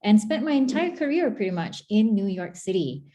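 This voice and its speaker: A woman's voice: mid-range voice, big pitch swings, moderate pace, warm tone. A middle-aged Malaysian woman answering interview questions in a chatty, talkative way, with frequent restarts and fillers. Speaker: guest, former tech agency chief.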